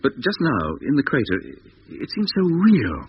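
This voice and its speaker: An actor playing an earnest, sometimes dazed moon expedition captain in a 1950s radio drama. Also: male voice, on the high side, huge pitch swings, normal speed. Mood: dazed, wondering. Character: rocket ship captain, dazed yet commanding male moon explorer. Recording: dull, hissy 1950s radio recording.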